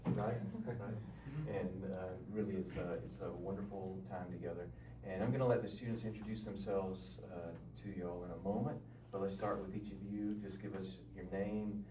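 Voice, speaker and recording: A man teaching a college class, chatting loosely with fillers as he leads a panel in a large classroom. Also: deep voice. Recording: echoey room, mic far off, background noise.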